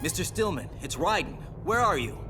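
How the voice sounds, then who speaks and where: An actor playing an earnest, anxious young special agent in a video game drama, urgent and emotional. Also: male voice, a little high, very animated, brisk, background noise. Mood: serious.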